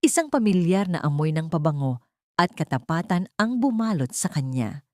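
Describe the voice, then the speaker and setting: Low-voiced woman narrating a story. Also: very animated, normal speed, no echo, studio quality. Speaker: audiobook narrator. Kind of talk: scripted dramatic story narration.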